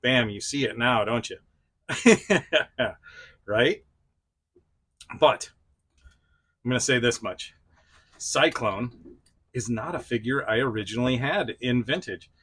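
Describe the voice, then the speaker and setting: A man's voice: mid-range voice, animated, slow, pauses often, fairly bright tone, breathy edge. A middle-aged man hosting a casual review vlog, chatty and self-deprecating, talking straight to the viewer. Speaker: toy review channel host.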